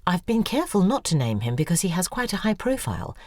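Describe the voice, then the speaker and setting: Animated, husky, low-voiced woman writer. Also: quick pace, no room sound, studio quality. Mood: wary, probing. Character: suspicious, defensive woman writer corresponding by email. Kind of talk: audio drama dialogue.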